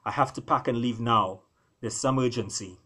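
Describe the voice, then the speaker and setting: A dutiful lion prince from a storybook, voiced by a male narrator. He is a serious yet tender husband, speaking soberly in short, measured sentences at a middling pitch. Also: pushed and bright. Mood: serious, apologetic.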